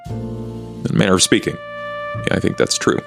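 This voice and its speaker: A man playing a stoic, courteous, calculating male vampire in a tabletop game, speaking in a formal, measured way. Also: deep voice, lively intonation, vocal fry, music in the background. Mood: solemn, reflective.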